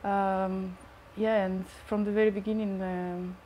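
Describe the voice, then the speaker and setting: Hesitant young woman, flat slow speech. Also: medium pitch, slight background noise, low-quality recording. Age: young adult. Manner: hesitant, halting with fillers. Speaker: interviewee. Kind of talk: documentary interview. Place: bedroom with posters.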